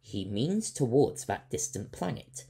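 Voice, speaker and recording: A man's voice: medium pitch, sing-song, a little slow, vocal fry, dark tone, breathy edge, voice pushed up, heavily acted. A man voicing a commanding male spaceship captain giving orders.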